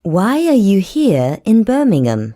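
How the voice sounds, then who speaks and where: A woman with a mellow, lowish voice reads language-course dialogue. She speaks slowly and pronounces each word with care. Her intonation swings widely to model the phrases. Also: wildly swooping pitch. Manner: slow, carefully enunciated delivery. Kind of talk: scripted language-lesson dialogue.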